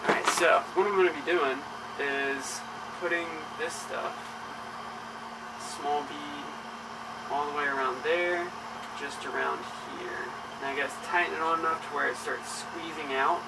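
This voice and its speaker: A man's voice: fairly high pitch, fairly even intonation, measured pace, creaky, heavy background noise. A man in his twenties, casually narrating repair work on a small sailboat in a vlog. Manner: laid-back, narrates boat repair steps. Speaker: vlogger. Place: small sailboat cabin indoors.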